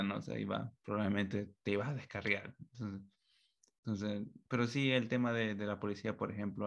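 A man's voice: on the low side, vocal fry. A man hosting a casual conversation, rambling and offering frequent backchannels.

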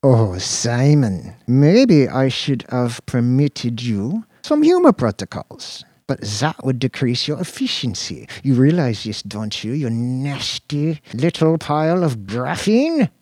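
A man's voice: medium pitch, big pitch swings, slow, few pauses, a little mellow, no echo, voice pushed up. A man voicing a petulant, scrawny male detective who squeals, whines and chants in a sing-song way. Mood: sneering.

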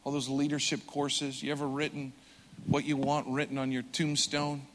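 A man preaching a sermon with earnest conviction, swelling loud for emphasis and dropping soft and slow. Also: mid-range voice, completely flat delivery, background noise. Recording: long hall reverb.

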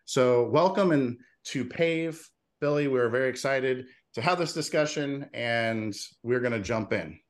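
A man's voice: medium pitch, unhurried. A man in his forties hosting a casual interview podcast, friendly and chatty, laughing easily. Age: 40s. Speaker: podcast host.

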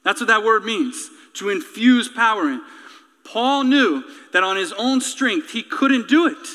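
High-pitched, forceful male preacher in a hall. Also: expressive, unhurried. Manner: emphatic, rhetorical, repetitive cadence. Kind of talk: impassioned sermon with repeated phrases, closing in prayer. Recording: reverberant hall sound.

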